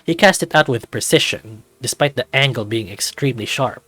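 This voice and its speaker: A man's voice: medium pitch, fairly quick, a bit bright, slightly rough, no room sound. A man reading scripted story narration for a fantasy visual novel. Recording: background music under the voice.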